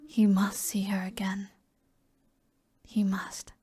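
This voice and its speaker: A British woman narrating a scripted ASMR story in a breathy whisper. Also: medium pitch, completely flat delivery, takes it slowly, darkish tone, slight background noise.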